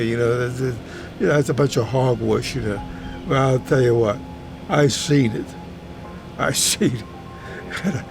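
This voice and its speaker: A man in his eighties from Hungary, a native German speaker, recalls his life in a halting, repetitive, plain-spoken way, as in an oral history interview. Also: medium pitch. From Hungary, German-speaking household. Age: 80s. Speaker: retired teacher recounting his life. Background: music under the voice.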